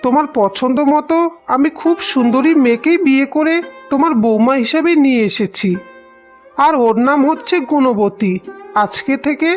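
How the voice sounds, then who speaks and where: A woman's voice: high-pitched, normal speed, faint music behind, lightly acted. A woman voicing a cold, domineering village husband in a melodramatic cartoon. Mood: self-satisfied. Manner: stern, dismissive. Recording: dull lo-fi cartoon soundtrack.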